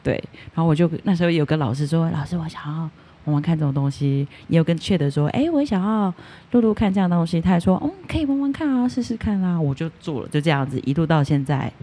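A woman's voice: low voice, lively intonation, fast, warm tone, slightly rough. A woman chatting casually as a guest on a podcast. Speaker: guest podcaster, ASMR creator. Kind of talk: casual interview chat.

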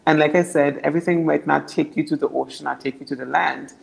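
A young woman from Anguilla with a naturally deep voice, answering interview questions earnestly and often repeating words as she builds her points. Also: fairly even intonation, quick pace, creaky. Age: young adult. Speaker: political candidate.

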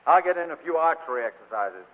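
Cocky, excitable male rival, played by an actor; lively inflection, thin 1940s radio comedy sound. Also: on the high side, fast, natural voice. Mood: taunting. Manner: breezy, needling. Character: cocky, taunting athletic rival, easily flustered. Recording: tinny vintage radio broadcast.

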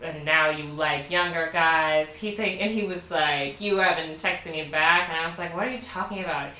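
A woman's voice: low-pitched, moderate pace, pushed and bright, recorded from a distance. A young woman from Baltimore telling a candid story in casual chat, laughing often and filling her talk with "like". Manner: candid, laughing, many "like" fillers. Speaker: podcast co-host. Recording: echoey room, off-mic.

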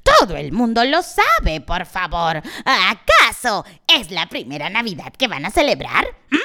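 A woman's voice: medium pitch, huge pitch swings, a little slow, pushed and bright, voice pushed down, full character voice. A woman voicing a fussy, bossy female turkey who scolds in a prim way.

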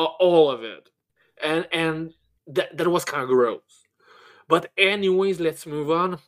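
A man's voice: fairly high pitch, a bit bright. A man co-hosting a podcast, giving a blunt, sweary movie review in casual chat, often stammering and repeating words as he goes. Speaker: podcast co-host.

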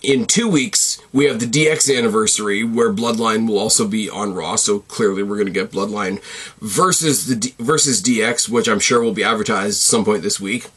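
Casting note: male host, wrestling commentary, slightly raspy, opinionated, swears, rambles. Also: lowish voice, moderate pace, a bit bright. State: losing his voice, a bit raspy. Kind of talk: casual commentary.

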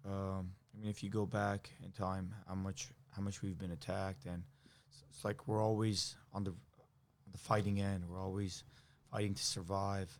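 Male guest, Armenian-American, deep and even, measured explaining style. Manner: thoughtful, explanatory, with frequent fillers. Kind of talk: casual conversation.

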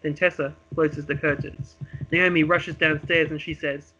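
A man's voice: on the high side, flat delivery, unhurried, sharp tone, husky, muffled recording. A man reading a script aloud as narrator of a homemade radio play, with dramatic storytelling delivery. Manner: dramatic present-tense storytelling.

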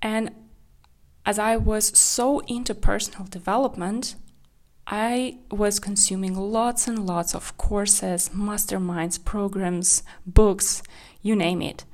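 Woman podcast host, from Kazakhstan, candid and reflective, medium pitch. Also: steady tone, takes it slowly, a little mellow. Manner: candid, reflective, with small slips of grammar. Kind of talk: solo podcast monologue.

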